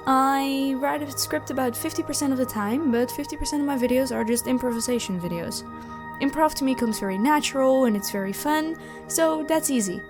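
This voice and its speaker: A woman with an enthusiastic, expressive way of talking, casually answering questions as the host of her own channel. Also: on the high side. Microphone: close USB microphone. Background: background music.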